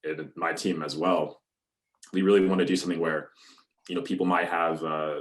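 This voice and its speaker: A young man from North Alabama with a deep, bassy voice, chatting casually as a musician guest with plenty of "you know" and "um". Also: normal speed. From North Alabama, USA. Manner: relaxed, many fillers like "you know" and "um". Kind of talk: casual interview talk.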